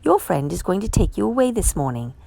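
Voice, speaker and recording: A woman's voice: on the low side, big pitch swings, brisk, gravelly, dark tone, full character voice. A woman voicing a kindly but firm hospital nurse in a children's storybook, giving instructions.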